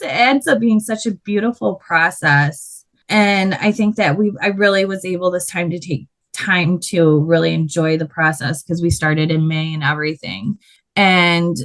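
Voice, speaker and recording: A woman with a loud voice, a body painter telling her story in a casual interview, animated and quick to laugh. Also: fairly low pitch.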